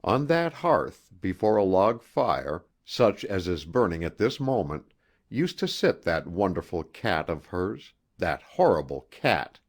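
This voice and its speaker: Deep, slow, expressive male audiobook narrator. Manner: deliberate, theatrical, expressive phrasing. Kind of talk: dramatic first-person literary audiobook narration.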